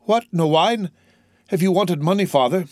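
An eager twenty-year-old sailor, played by a male narrator in a classic storybook reading. He is devoted, earnest and impulsive, and the voice rides well up in pitch. The recording is dry. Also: lively intonation, normal speed, no echo, lightly acted. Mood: worried, urgent. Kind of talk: dramatised audiobook reading of a classic novel.

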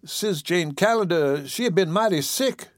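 Man doing an animated, high-voiced stout caretaker woman. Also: normal speed, no room sound, studio quality. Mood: devout, firm. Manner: animated Southern folksy dialect.

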